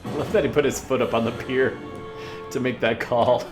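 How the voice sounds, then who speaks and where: A man hosting a reaction video, chatting casually and musingly in halting asides as he comments on a cartoon. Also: medium pitch, steady tone, loud music behind the voice.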